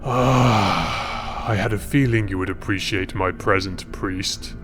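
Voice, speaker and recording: A smug aristocratic wizard, acted by a male narrator, speaking low with quiet menace. He savours each mocking word in a fantasy storybook, with music behind. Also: slow. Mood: smug, amused. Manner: mocking, savouring his words.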